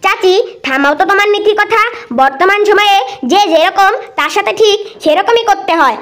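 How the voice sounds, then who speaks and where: A woman's voice: extremely high pitch, a little fast, a bit bright. A woman voicing a brash, scheming young female fox in a cartoon, bold and chatty. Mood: defiant.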